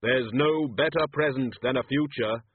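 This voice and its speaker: A man's voice: medium pitch, moderate pace, slight background noise, natural voice. A man voicing Death, a terse, commanding male spirit. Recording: tinny lo-fi audio.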